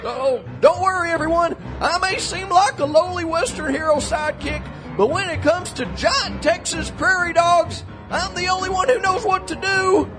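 A man's voice: extremely high pitch, big pitch swings, measured pace, loud music behind the voice, over a noisy crowd, exaggerated voice. A man playing an earnest, bookish young sidekick who narrates a comic western audio drama with grand drama. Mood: breathless, boastful.

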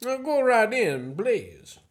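A man acting in a student radio play as a courteous, menacing gentleman professor who is secretly a spy chief. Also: very high voice, sing-song, slow pace, heavily acted. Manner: courteous, genteel, theatrically menacing.

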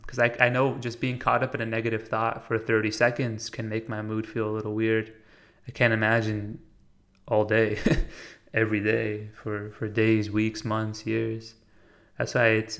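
An American man speaks in a slightly low voice with plenty of vocal fry. His melody stays narrow and even. He reflects out loud in an earnest, casual monologue, halting now and then to find his words. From United States. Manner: informal, halting, searching for words. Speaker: podcast host.

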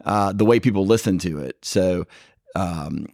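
A man's voice: sits low, measured pace, gravelly, darkish tone, dry recording, clean studio sound. A man hosting a casual podcast, talking in a loose, rambling way with fillers and restarts. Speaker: podcast host.

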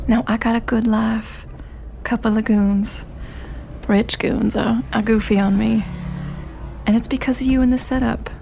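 A brassy, frank lady confidante in a period radio drama. She drawls slangily in a mellow, creaky voice, a little high, over faint noise. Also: level tone, unhurried. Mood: grateful, earnest. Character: breezy, brassy socialite confidante, grateful and frank.

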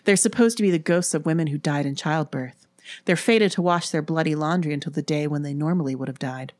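A woman's voice: sits low, brisk, a little mellow, no room sound, lightly acted. A woman reads a knowing schoolgirl from a spooky storybook. She sounds assured and a little ominous, close and dry. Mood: confident. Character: knowing, confident schoolgirl who tells ghost lore.

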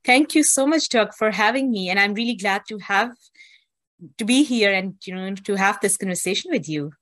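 A woman's voice: mid-range voice, lively intonation, moderate pace, a bit bright, dry recording. Woman telling her story. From Pakistan. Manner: earnest, with many fillers. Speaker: guest. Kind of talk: interview, personal story.

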